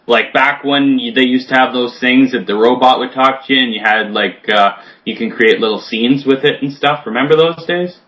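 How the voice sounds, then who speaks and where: A man's voice: mid-range voice, moderate pace, hardly any pauses, a bit bright, rough recording. A man of twenty chatting as a podcast guest, crude and joking, often laughing as he talks. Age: 20s. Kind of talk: casual chat.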